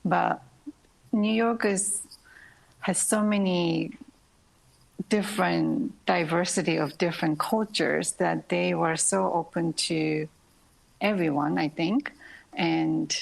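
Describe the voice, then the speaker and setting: A Japanese-born woman ballet dancer in her thirties, speaking English as a second language in an interview, soft-spoken with frequent pauses and light laughter. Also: on the low side, fairly even intonation, creaky, poor audio. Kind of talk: interview, answering questions.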